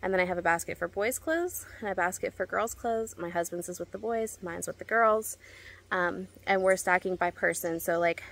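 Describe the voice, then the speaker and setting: A woman's voice: fairly low pitch, moderate pace, creaky, fairly bright tone. Woman, 30s, casual vlog, practical and chatty, lots of ums, outdoors, phone held at arm's length. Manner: chatty and practical, with frequent ums and self-corrections. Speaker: homeschooling mom vlogger. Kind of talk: casual vlog explaining a routine. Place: outdoors in a backyard by clotheslines.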